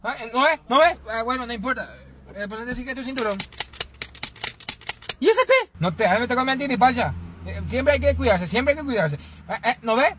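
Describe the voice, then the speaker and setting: Fussy high-voiced young man. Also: expressive, brisk, long pauses, a bit bright, slight background noise. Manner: fussy, repeating himself, giggly. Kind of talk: comic sketch. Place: inside a car.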